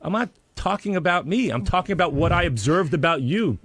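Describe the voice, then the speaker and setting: A man's voice: sits high, animated, a little fast, fairly bright tone. A man from radio ad sales arguing defensively on a show, pressing insistently with questions. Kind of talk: heated workplace argument on a radio show. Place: radio studio.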